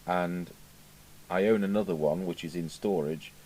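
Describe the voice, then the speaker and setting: A middle-aged British man giving an informal, unscripted hands-on demonstration, musing aloud with hesitant pauses. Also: sits low, a little mellow. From United Kingdom. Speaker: radio repair technician. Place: indoor radio repair workbench. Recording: clearly noisy home workshop recording.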